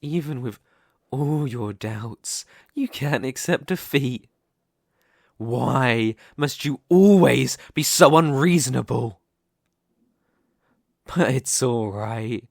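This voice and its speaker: Guy acting as a smug demon king villain, asmr roleplay, really slow n teasing, dry close mic. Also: medium pitch, vocal fry, no echo. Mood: taunting, patient. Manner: slow, deliberate, teasing, condescending. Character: smug, seductive male demon king, patient and possessive. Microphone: close studio microphone, dry.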